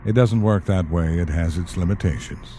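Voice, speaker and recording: An actor in an audio play as a calm, wry government specialist, speaking in a measured, matter-of-fact and dryly polite way. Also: male voice, low voice, slight background noise, close to an everyday voice. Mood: patient explaining. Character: calm, wry male government specialist who questions the dead. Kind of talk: audio drama acting.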